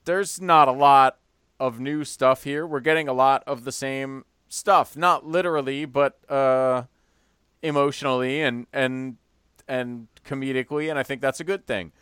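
A man co-hosting a podcast in casual chat, wry and dry-humored, often trailing off quietly. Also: medium pitch, unhurried, clean tone, dry recording. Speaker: podcast co-host.

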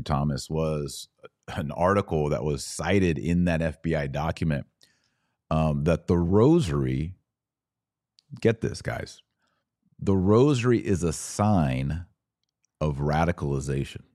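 Male podcast host, very low voice, dry close sound, earnest and conversational, turns indignant. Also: unhurried, dark tone, no room sound. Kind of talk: livestream podcast reading viewer comments.

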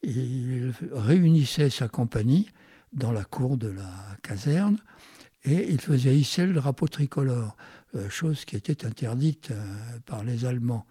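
Very old guy, mellow husky voice, halting as he tells memories, dry close sound. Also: mid-range voice, animated, dry recording. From France. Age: 90s. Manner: halting, searching for words. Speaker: interview guest recalling his life. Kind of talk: unscripted reminiscence.

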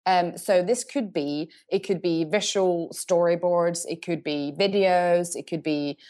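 Woman, a bit low-pitched. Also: steady tone, mellow. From Norway. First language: Norwegian. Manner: thoughtful, pauses to search for words and rephrases. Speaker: agency CEO, guest. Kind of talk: podcast interview answers.

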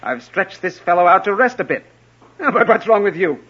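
An actor playing a gallant, confident young outlaw with a courtly, bold manner in an old radio drama. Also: male voice, high voice, sing-song, moderate pace, breathy edge, heavily acted. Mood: concerned, decisive. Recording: muffled vintage radio recording.

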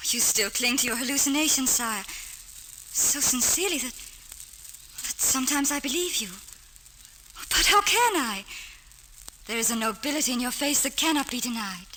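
A woman playing a devoted, gentle noble lady with formal, courtly speech in an old-time radio drama. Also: high-pitched, animated, moderate pace, long pauses. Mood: gentle, concerned. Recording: noisy old radio recording.

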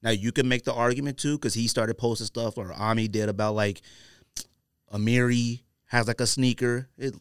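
American man talking casually, low-pitched and slangy. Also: normal speed, clean tone, no room sound, clean studio sound. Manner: animated, slangy storytelling with acted-out imagined quotes. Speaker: podcast host. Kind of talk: casual podcast talk.

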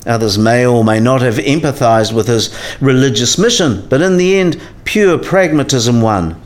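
A man's voice: medium pitch, animated, moderate pace, natural voice. A man playing a learned professor in a radio play, explaining science history in a precise, didactic way. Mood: measured, instructive.

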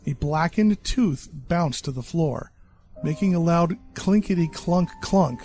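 A man with a fairly high, gravelly voice reads a horror story in a measured, deadpan way. Faint music plays behind him. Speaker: narrator.

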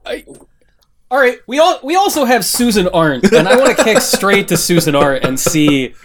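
A man hosting a casual, comedic panel chat, animated and joking, often restarting his sentences. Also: high-pitched, moderate pace, a loud crowd. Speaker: podcast host.

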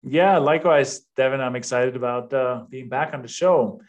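Male podcast guest, fifties, Danish accent, medium-pitched voice, relaxed informal interview talk. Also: moderate pace, highs cut off. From Denmark. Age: 50s. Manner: conversational, informal. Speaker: podcast guest, real estate investor. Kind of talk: casual interview chat.

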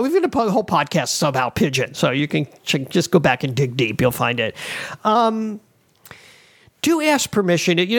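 Gravelly East Coast man giving tips. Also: fairly high pitch, dry recording, clean studio sound. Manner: rambling, many fillers and restarts. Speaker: podcast host. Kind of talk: casual advice talk.

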